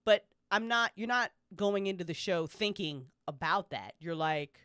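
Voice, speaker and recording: A woman's voice: fairly low pitch, animated, measured pace, fairly bright tone, smooth, no room sound. Profane, emphatic woman podcaster, dry close sound. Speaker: podcast panelist. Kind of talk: casual opinionated fan chat.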